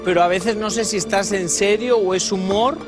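A man's voice: high-pitched, fairly even intonation, normal speed, over music. A man on a talent show's judging panel, asking teasing, probing questions of a contestant. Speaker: talent show judge. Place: TV studio judges' panel.

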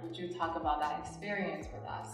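A young woman from Taiwan hosting an interview channel, asking friendly, slightly halting questions in a gallery room. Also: low-pitched, fairly even intonation. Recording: very echoey, distant from mic, faint music.

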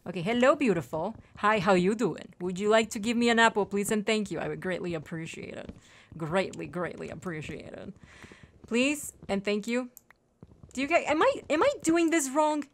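A woman's voice: medium pitch, moderate pace. Chatty woman playing a game. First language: Spanish. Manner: chatty and apologetic, talks to viewers and to game animals and trees. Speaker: gaming channel host. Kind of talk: casual let's-play commentary.

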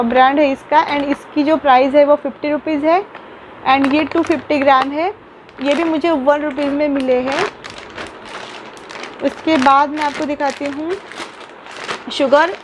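A woman's voice: high voice, background noise. A young woman chatting casually through an unboxing vlog in a small room, talking into a phone camera with lots of fillers and restarts, mixing English words into her sentences. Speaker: vlogger.

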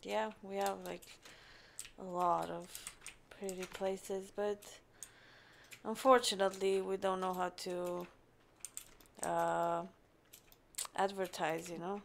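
A woman from Romania with a Romanian accent, giving short, soft replies from across the room. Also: medium pitch, flat delivery, slow pace, creaky, pauses often, slight background noise. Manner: soft-spoken, brief replies, giggly. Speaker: streamer's partner.

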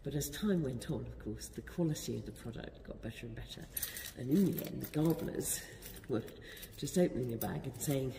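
A woman's voice: extremely low pitch, animated, a little fast, few pauses, dark tone. Woman guiding in an echoing, noisy church. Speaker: church warden acting as guide. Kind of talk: informal explanation to a visitor. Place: inside a church. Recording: reverberant church, clear background noise.

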